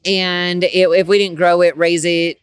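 A woman's voice: on the low side, level tone, a little slow, clear, resonant tone. A woman, a ministry leader, speaking as a guest in a faith-based interview, earnest and emphatic with a preaching tone.

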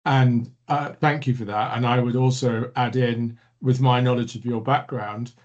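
A man's voice: mid-range voice, fairly even intonation, moderate pace, highs cut off. Middle-aged male host, conversational, nods along, mid-range voice. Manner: agreeable, asks leading follow-up questions. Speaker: interviewer host. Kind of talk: conversational interview.

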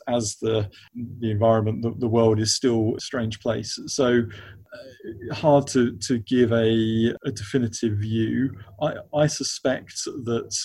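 The male expert guest on a finance podcast, cautious and halting, keeping an even tone as he thinks aloud. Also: mid-range voice. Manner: halting, repeats words as they search for phrasing. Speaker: interview guest, investment funds expert at a law firm. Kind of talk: unscripted business podcast interview.

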